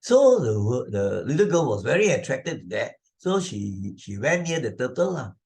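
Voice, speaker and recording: A man's voice: mid-range voice, big pitch swings, normal speed, a little mellow. A man with a Malaysian accent narrating and explaining a moral story for children as a teacher, in a conversational, repetitive way full of little tag questions.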